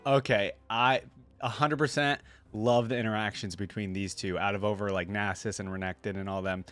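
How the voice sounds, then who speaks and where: A man's voice: on the low side, steady tone, moderate pace, fairly bright tone, clear, resonant tone. A man giving casual, slangy reaction commentary on game voice lines. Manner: slangy, says bro and dude. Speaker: YouTube reactor. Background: game voice lines and faint music.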